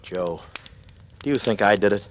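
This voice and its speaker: An actor playing a brooding, guilty and slightly unhinged man in a halting, dramatic 1940s radio style. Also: lowish voice, natural voice. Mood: pleading. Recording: noisy old radio recording.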